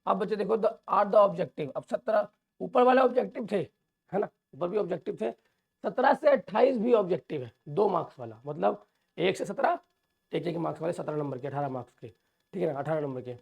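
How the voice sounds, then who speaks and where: Man, hoarse from a sore throat, gravelly, slightly high voice, teacher explaining exam. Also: lively intonation, a little fast. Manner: addresses viewers as children, reassuring. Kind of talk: exam overview lesson.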